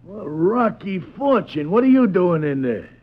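Animated, sarcastic police sergeant, old radio. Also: male voice, sits high, unhurried, a little hiss or hum. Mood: sarcastic, suspicious. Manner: needling, blunt interrogation. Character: skeptical, bullying, sarcastic male homicide police sergeant. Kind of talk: 1950s radio drama acting. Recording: muffled 1950s radio broadcast.

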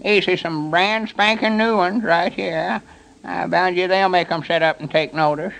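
A man's voice: high-pitched, fairly even intonation, moderate pace, gravelly, fairly bright tone, voice pushed up, rough recording. An actor voicing an eager, proud old country man with a rural Southern US accent, in 1930s radio comedy style. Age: older.

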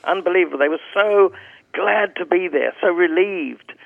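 A man's voice: sits high, moderate pace, gravelly, no room sound. A man in his seventies, a singer chatting as an interview guest, rambling through anecdotes with restarts. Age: 70s. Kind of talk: casual interview chat. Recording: phone-line audio.

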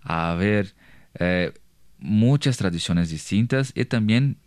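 A young man from Brazil speaking Spanish with a Brazilian Portuguese accent, a guest on a radio show who pauses often to search for words. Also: fairly low pitch, animated, a little mellow, no echo, studio quality. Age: young adult. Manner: hesitant, searching for words in a second language. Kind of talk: radio interview.

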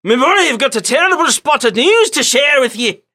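The bellowing British uncle of a comic storybook who phones at dawn with pompous good cheer, high and strained. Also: male voice, sing-song, brisk, bright, forceful tone, heavily acted. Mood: grave, theatrical. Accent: put-on British. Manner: pompous, jolly, exclamatory. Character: boisterous, theatrical posh British uncle.

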